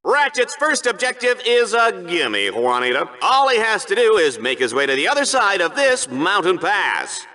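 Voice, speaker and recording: An actor playing a smarmy, bombastic sci-fi bloodsport announcer in a video game, hammy and excitable in his play-by-play. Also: sits high, normal speed, hardly any pauses, full character voice. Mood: showy. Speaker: play-by-play commentator. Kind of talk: over-the-top sports commentary, video game acting.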